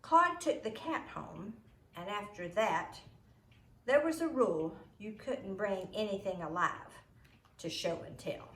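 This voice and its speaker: Animated, unhurried woman reading a story. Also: mid-range voice, roomy sound. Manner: deliberate, emphatic storytelling. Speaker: storybook reader. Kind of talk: expressive read-aloud narration.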